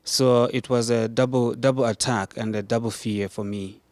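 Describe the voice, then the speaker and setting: A man from Zimbabwe answers questions as an activist guest on a studio debate, pausing with "uh" and restarting his phrases. His voice is mid-pitched and recorded close and dry. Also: steady tone, darkish tone, no room sound. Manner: hesitant, with many uhs and restarts.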